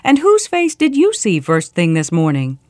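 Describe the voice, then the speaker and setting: A woman's voice: lowish voice, wildly swooping pitch, moderate pace, a little mellow, dry recording, studio quality. A woman voicing a shrewd, confident royal minister in a storybook tale, making his case with pointed questions.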